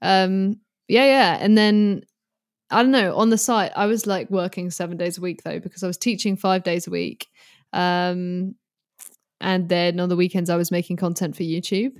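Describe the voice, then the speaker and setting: A woman's voice: lowish voice, fairly even intonation, moderate pace, dry recording, studio quality. A British woman in her early twenties chatting casually as a guest, candid, informal and rambling. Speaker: podcast guest, guitarist and online creator. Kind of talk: casual chat.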